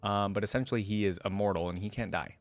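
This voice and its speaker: A man's voice: on the low side, steady tone, quick pace, no room sound. A man hosting a reaction video, commenting casually and musing aloud in an informal, conversational way. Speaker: host of a reaction channel.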